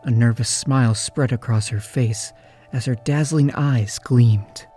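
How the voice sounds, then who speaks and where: Mellow male story narrator. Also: medium pitch, a little slow. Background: faint music.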